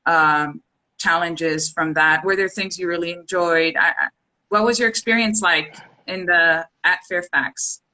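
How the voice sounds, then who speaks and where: Young woman interviewer, bright, crisp tone, friendly, hesitant phrasing. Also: on the low side, low-quality recording. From Los Angeles. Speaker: interview host. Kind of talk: casual hosted interview.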